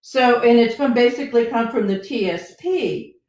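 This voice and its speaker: A woman's voice: on the high side, normal speed, darkish tone. Roomy, distant-sounding woman explaining step by step. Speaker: financial advisor guest on a podcast. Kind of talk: explanatory podcast talk. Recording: roomy, distant, dull lo-fi microphone.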